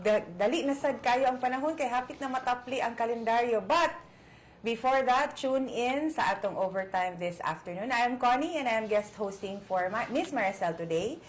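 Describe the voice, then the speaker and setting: A woman with a Filipino accent guest hosting a talk show, upbeat and bright, moving between English and Cebuano. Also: fairly high pitch, brisk, slight background noise. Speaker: guest host.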